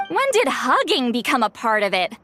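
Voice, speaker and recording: A woman's voice: fairly high pitch, sing-song, moderate pace, a bit bright, soft background music. An actress playing a grumpy, put-upon young woman in a video game, with a dry, exasperated delivery. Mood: reluctant, annoyed.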